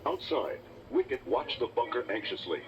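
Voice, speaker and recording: A man's voice: medium pitch, sing-song, moderate pace, very noisy. Male storybook narrator on muffled cassette. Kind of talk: dramatic scripted children's read-along narration. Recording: muffled distorted toy cassette playback. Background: loud dramatic music.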